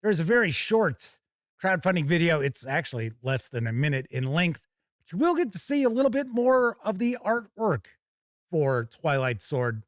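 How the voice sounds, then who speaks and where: A man's voice: a little high, very animated, normal speed, no echo, clean studio sound. An American man hosting a live tabletop gaming news show, mixing scripted items with casual, theatrical, jokey asides. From United States.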